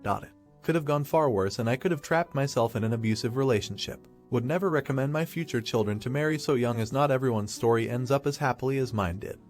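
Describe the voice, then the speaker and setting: Fast-reading male story narrator. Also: medium pitch. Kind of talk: reading a reddit story aloud.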